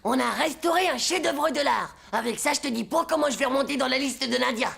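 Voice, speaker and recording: Cartoon little boy, cocky and slangy, high gravelly voice. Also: a little fast, fairly bright tone, exaggerated voice. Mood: boastful. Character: cheeky, boastful young schoolboy cartoon hero. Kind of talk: French animated series acting.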